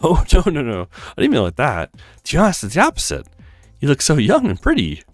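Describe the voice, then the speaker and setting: A man's voice: on the high side, huge pitch swings, fast talker, no echo, faint music behind. A man voicing a smitten, modest young woman in her twenties, eager and a little flustered, in a visual novel.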